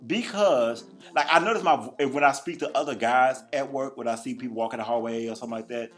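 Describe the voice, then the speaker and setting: A man co-hosting a casual chat podcast, expressive and playful. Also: fast talker, soft background music. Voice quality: naturally deep voice. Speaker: podcast co-host.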